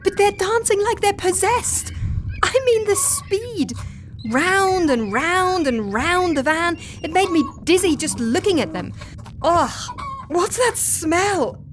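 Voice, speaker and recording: An actress in a comedy audio drama playing an exasperated, organized woman agent, with an animated, instructive manner. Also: very high-pitched, slow, slight background noise, exaggerated voice. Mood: flustered.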